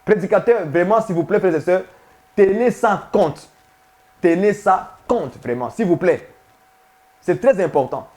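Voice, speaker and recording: Man, high-pitched voice, preacher, emphatic sermon, repeats points, quizzes listeners. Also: lively intonation, a little slow, pauses often, darkish tone. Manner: emphatic, repetitive, questions the listeners. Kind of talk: sermon teaching to viewers.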